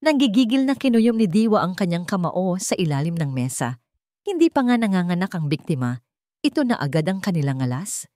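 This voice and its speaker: A woman narrates a melodramatic story as a scripted storyteller. Her voice sits fairly low, and the sound is dry with little room in it. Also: darkish tone, no echo.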